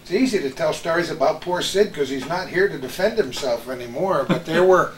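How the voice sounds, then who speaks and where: Older American man, high-pitched voice, roomy sound. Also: lively intonation, fairly quick. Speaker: host, veteran radio broadcaster. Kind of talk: reminiscing, anecdotal chat with old colleagues. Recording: roomy echo with some background noise.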